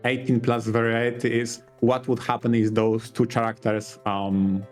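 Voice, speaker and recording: A man's voice: medium pitch, level tone, measured pace, a little mellow, faint music behind. A man co-hosting a podcast, explaining things casually in careful, roundabout phrases. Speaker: podcast co-host.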